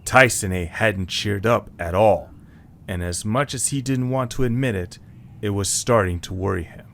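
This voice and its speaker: A man's voice: fairly low pitch, normal speed, vocal fry, fairly bright tone. A man reading scripted story narration as the narrator of an audio drama.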